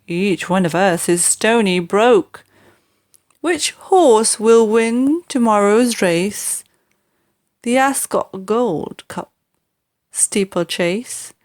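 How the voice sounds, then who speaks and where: A woman voicing a pleading, penniless senior male dwarf from a comic storybook, earnest and drawn-out in delivery. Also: medium pitch, lively intonation, darkish tone, dry recording, studio quality.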